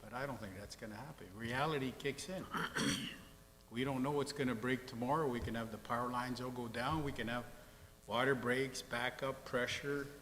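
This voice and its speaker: A man's voice: mid-range voice, fairly even intonation, moderate pace, a bit bright. Blunt folksy man, councillor, faint background hum, long room reverb. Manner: blunt, folksy, plain-spoken. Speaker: city councillor. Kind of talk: council debate speech. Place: council chamber.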